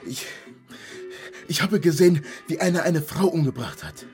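An actor playing an anxious, evasive young Ghanaian man in a radio drama, speaking haltingly and naturalistically. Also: fairly high pitch, very animated, a little mellow, soft background music. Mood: shaken, hesitant. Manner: hesitant, halting.

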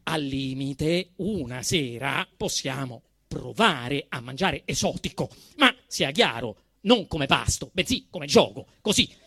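A man's voice: a little high, lively intonation, unhurried, few pauses, a bit bright, husky, dry recording. A male actor with a Roman Italian accent delivers a theatrical satirical monologue, telling it in an emphatic, indignant, mock-solemn manner with dramatic swings. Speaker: actor performing a comic monologue.

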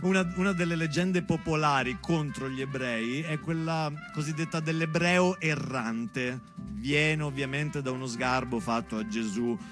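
Guy doing a stage monologue, bit high pitched, storytelling with asides, music behind. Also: unhurried, few pauses. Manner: storytelling, conversational asides, dramatic emphasis. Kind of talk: theatre monologue addressed to his daughter.

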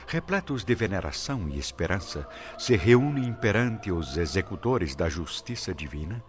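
Brazilian guy narrating a radionovela, real deep voice, solemn bookish delivery, bit muffled w soft music. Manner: solemn, literary, declaimed. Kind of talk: scripted radio drama narration. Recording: dull audio, faint music behind.

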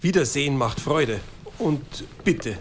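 An actor playing a fussy, kindly male school caretaker with a Bavarian accent and gravelly voice in a German radio play. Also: medium pitch, animated, slow pace, slight background noise. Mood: gruffly friendly.

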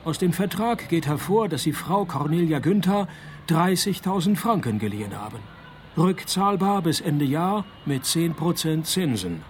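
A deadpan, higher-voiced male detective. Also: normal speed, a little hiss or hum. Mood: confronting. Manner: deadpan, laconic. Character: wry, cynical, unflappable male private detective narrator. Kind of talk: noir-parody radio play narration and dialogue.

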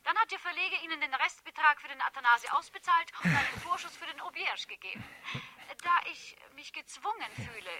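A woman's voice: high-pitched, animated, a little fast, sharp tone, exaggerated voice. An actress playing a sly female blackmailer in a German radio drama, mock-polite, teasing and insistent. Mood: falsely sweet. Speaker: actor in a radio play.

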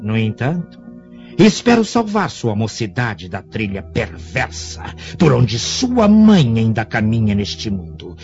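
An actor with a Brazilian Portuguese accent playing a menacing, cynical old Egyptian sorcerer in a radio drama, speaking in ominous, grandiose pronouncements. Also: male voice, on the low side, huge pitch swings, takes it slowly, darkish tone, heavily acted. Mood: grim warning. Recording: muffled radio drama recording with music.

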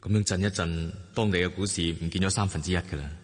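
An actor playing a suave, courteous stock trader in a Cantonese radio drama, with a Hong Kong accent and polite manner. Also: male voice, deep voice, fairly even intonation, quick pace. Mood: earnest.

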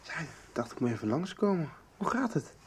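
A man's voice: a little high, big pitch swings, brisk, a little hiss or hum. Earnest young man, slightly hesitant. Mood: gentle, concerned. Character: earnest young man searching for his father. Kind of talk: radio soap acting.

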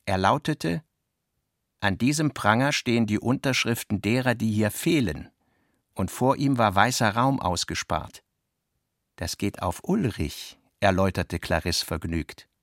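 A man playing a literary narrator in a radio drama, measured and articulate, acting out the dialogue he quotes. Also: lowish voice, lively intonation, no echo, studio quality. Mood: ironic, declaiming. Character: literary male narrator recounting and quoting his guest's talk.

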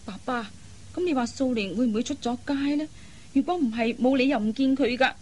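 A woman playing an anxious, caring elder sister in an expressive, earnest radio drama style. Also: on the high side, fairly quick, natural voice. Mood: concerned, puzzled. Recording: faint background noise.

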